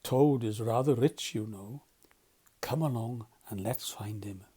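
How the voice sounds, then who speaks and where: A man voicing a good-natured, sensible river rat in a storybook, genial and measured. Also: medium pitch, warm tone, slightly rough. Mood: friendly. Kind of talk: storybook character voice.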